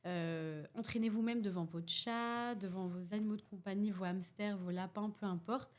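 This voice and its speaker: A young woman with a Belgian French accent talks through her ideas in a relaxed podcast chat. She pauses often, fills gaps with hesitation sounds and restarts her sentences, in a voice of ordinary middle pitch. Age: young adult. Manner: hesitant, many fillers and restarts. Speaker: podcast participant, youth workshop facilitator. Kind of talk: casual podcast discussion.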